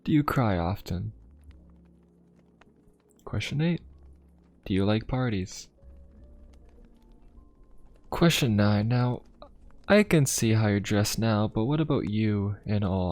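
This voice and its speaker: Man playing a low-voiced, courteous young fantasy pope. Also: takes it slowly, pauses often. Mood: musing, friendly. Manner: polite, formal, gently musing. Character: courteous, inquisitive young male pope of a steampunk fantasy city. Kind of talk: ASMR roleplay addressed to the listener. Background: music.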